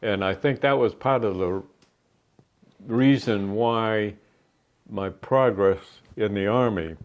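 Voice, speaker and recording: Older veteran, New England accent, very low voice, meandering interview answers. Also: male voice. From New Hampshire. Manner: rambling, halting with many fillers. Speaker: Army veteran guest. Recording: dull lo-fi sound.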